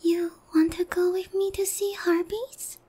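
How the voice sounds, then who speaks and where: A woman's voice: extremely high pitch, level tone, unhurried, mellow. A woman playing a naive, sheltered young harpy noblewoman in a roleplay ASMR, polite, hesitant and earnest in a girlish way. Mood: shy, hesitant.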